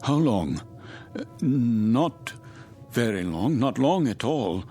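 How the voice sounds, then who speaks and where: An actor in a radio drama playing a troubled German passenger, formal, precise and hesitant. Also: male voice, mid-range voice, sing-song, slow pace, mellow, faint music behind. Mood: uneasy, distracted.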